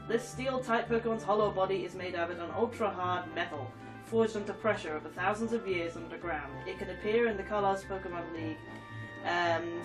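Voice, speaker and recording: A woman's voice: fairly low pitch, far from the mic, low-quality recording. A woman with a cold and a stuffy nose, chatting casually and giggling as she reads game trophy descriptions aloud. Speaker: gaming YouTuber.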